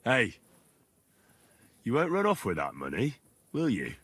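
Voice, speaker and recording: A man's voice: mid-range voice, wildly swooping pitch, measured pace, fairly bright tone, husky, no echo, exaggerated voice. An actor playing a wary, good-natured young man, a reluctant accomplice with an earnest, cautious manner in a video game. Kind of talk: dramatic video game voice acting.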